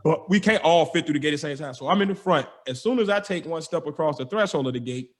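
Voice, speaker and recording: A young man, a podcast host telling a story with lively energy, slangy and acting out the dialogue. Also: on the high side, fast talker. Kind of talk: animated storytelling.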